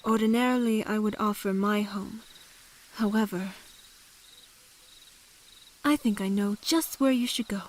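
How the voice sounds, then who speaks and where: A woman playing a mysterious forest woman in an audio drama, speaking in a formal, measured, old-fashioned way and calmly giving instruction. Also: medium pitch, natural voice.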